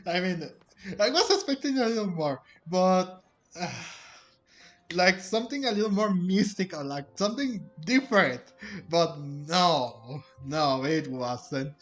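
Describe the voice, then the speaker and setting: A man giving casual game playthrough commentary as host, reading text aloud and rambling in a halting, repetitive way. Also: sits high, animated, muffled recording. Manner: halting, rambling, repeats himself. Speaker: gaming channel host.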